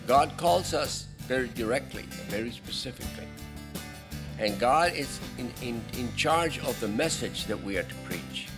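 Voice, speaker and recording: A man of middle pitch preaches to his church, stopping and restarting as he goes. He breaks off now and then to speak straight to the congregation, and his voice sits right in the usual range for a man. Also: lively intonation, music in the background. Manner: halting, with frequent restarts and asides to the congregation. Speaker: pastor. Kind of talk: sermon. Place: church sanctuary.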